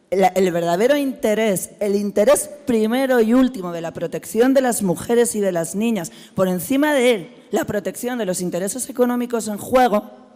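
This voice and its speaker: A hoarse woman from Spain with a Spanish accent, speaking with passion at a forum and asking repeated rhetorical questions. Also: fairly high pitch, darkish tone. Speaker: forum participant. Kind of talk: spoken remarks at a panel forum. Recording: hall reverb in a large room.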